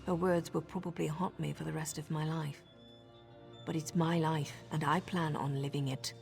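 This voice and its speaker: A woman voicing a blunt, scornful dark elf assassin in a game. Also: low voice, little pitch movement, moderate pace, vocal fry, big-room sound, faint music behind. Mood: wry.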